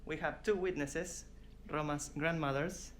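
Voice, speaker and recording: Man calmly narrating a home video. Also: fairly high pitch, a little slow, slight background noise. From Argentina. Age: 30s. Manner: calm, formal, announcing details.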